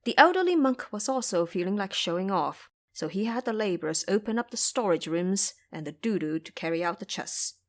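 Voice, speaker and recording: A woman's voice: mid-range voice, expressive, brisk, few pauses. A woman reading scripted narration for an audio drama as its storyteller.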